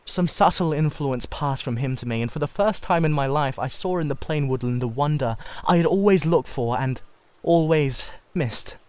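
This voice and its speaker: A man's voice: fairly high pitch, darkish tone, clean tone, dry recording, close to an everyday voice. A man voicing an intense, idolising painter who speaks in an earnest, confessional way for a dramatised storybook reading. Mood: passionate outburst. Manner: fervent, halting, confessional. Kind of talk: dramatised literary audiobook reading.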